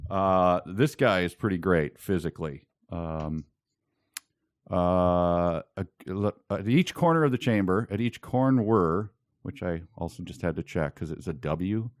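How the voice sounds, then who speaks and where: A man's voice: deep voice, animated, unhurried, dry recording. A man co-hosting a casual book discussion, talking and reading aloud with dry, mock-serious humor. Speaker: podcast co-host.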